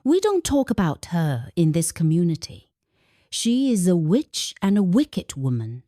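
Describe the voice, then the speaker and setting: A woman's voice: fairly low pitch, wildly swooping pitch, unhurried, mellow, dry recording, studio quality. A Nigerian-accented woman voicing a stern, resentful elderly village man in a folktale, grave and accusing.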